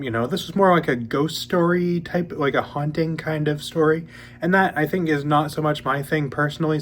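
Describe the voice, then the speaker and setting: A man's voice: medium pitch, a little hiss or hum. Sniffly young man chatting about books. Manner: relaxed, rambling, many ums and uhs. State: sniffly, recovering from a cold. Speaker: book channel host. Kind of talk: casual monthly reading update.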